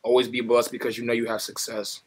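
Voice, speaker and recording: A young man with a husky voice talking casually in street slang and giving motivational advice over a video call. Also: medium pitch, little pitch movement, quick pace, heavy background noise. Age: young adult. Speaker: stranger on a video chat, motivational poster.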